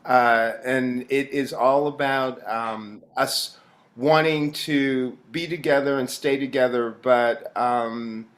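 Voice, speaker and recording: A man speaking as an actor in a reflective interview, in a halting, thoughtful way with frequent pauses. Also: medium pitch, steady tone, fairly bright tone.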